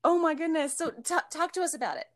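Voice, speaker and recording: A woman's voice: sits high, animated, quick pace. A middle-aged woman hosting a podcast interview, making friendly, apologetic small talk before inviting the guest's story. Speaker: podcast host. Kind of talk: casual remote interview.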